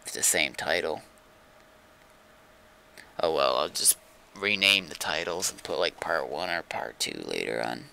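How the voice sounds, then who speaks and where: A man's voice: rumbling low, animated, a little slow, creaky, pauses often, sharp tone, slight background noise. A man chatting casually on a gaming livestream, rambling and thinking aloud as he goes.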